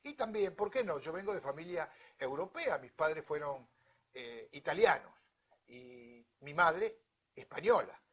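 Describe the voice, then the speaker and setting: A man with an Argentine accent hosts an interview show. His voice is fairly high and husky, and the sound is dull and lo-fi. He greets and questions with formal courtesy. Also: big pitch swings, a little slow, fairly bright tone. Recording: dull, lo-fi audio.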